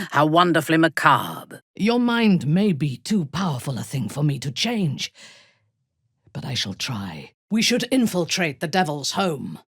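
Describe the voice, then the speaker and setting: A woman playing a ruthless, bloodthirsty warrior commander in a video game, with a husky, breathy voice and haughty, formal, biting delivery. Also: sits low, big pitch swings, a bit bright, no echo, studio quality, exaggerated voice. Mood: darkly amused, then intimate, hushed. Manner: haughty, measured, formal diction with biting disdain. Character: ruthless, imperious female warrior and cult commander, bloodthirsty, darkly amused. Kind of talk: video game character dialogue.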